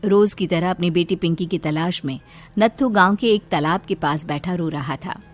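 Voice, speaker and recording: A woman narrating a cartoon story in a steady, even way. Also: on the low side, moderate pace, soft background music.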